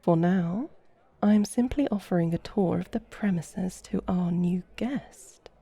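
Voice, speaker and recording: A woman playing a courtly, flirtatious vampire in an ASMR roleplay, speaking softly with a teasing, formal, elegant manner. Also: on the low side, unhurried, no room sound, full character voice. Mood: warm, apologetic. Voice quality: soft, breathy. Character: flirtatious, courtly female vampire guiding a guest.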